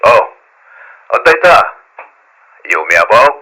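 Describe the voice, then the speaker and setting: A man acting in an old Ilocano radio drama as a doting older father and grandfather, animated and affectionate. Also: on the low side, a little slow, full character voice, low-quality recording. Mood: fond.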